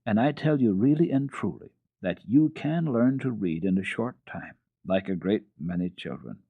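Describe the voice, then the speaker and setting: A man voicing a kindly, encouraging old grandmother in a storybook reading, coaxing and deliberate but gently firm. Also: on the low side, husky, heavily acted. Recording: narrow-band, echoey old radio recording.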